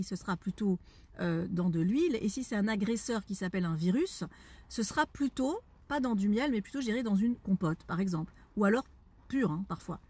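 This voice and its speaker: A woman's voice: medium pitch, a little mellow, breathy edge. A woman, an aromatherapy educator answering a viewer's question in a didactic way, often checking that listeners follow.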